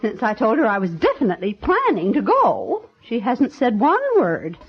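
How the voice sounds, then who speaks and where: An actress playing a fussy, easily offended older aunt in a 1950s radio drama, fretful and emphatic. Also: female voice, a little high, huge pitch swings, normal speed, husky, heavily acted. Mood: aggrieved. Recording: dull vintage 1950s radio recording.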